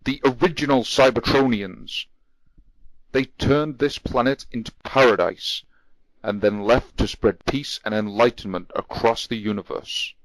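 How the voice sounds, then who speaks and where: A man's voice: medium pitch, steady tone, a little slow, no room sound, close to an everyday voice, poor audio. A man playing a reverent robot believer in ancient legends, earnest and fervent, in an audio drama.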